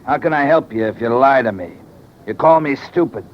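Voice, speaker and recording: Menacing big American radio thug, played by an actor. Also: male voice, medium pitch, animated, brisk, natural voice. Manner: blunt, menacing. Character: huge, bullying, hot-tempered male tough guy. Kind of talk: 1940s-50s radio acting. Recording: muffled, noisy old radio recording.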